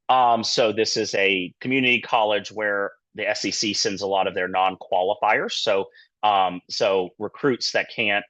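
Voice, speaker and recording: A man's voice: lowish voice, bright, forceful tone, no echo, muffled recording. A man in middle age, a sports medicine physician, giving an informal lecture in a conversational, loosely phrased way. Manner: conversational, loosely phrased, peppered with "you know" and "kinda". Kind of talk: informal lecture with anecdotes and career advice.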